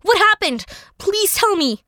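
A woman plays an earnest young storybook boy. His voice is extremely high and bright, with an excitable, kindhearted delivery. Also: unhurried, no echo, clean studio sound, full character voice.

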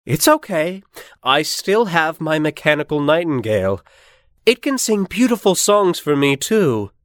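A theatrical emperor from a children's fairy tale, acted by a man. He is sentimental and excitable, and his pitch leaps about. The sound is close and dry. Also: unhurried, dry recording. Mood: self-consoling.

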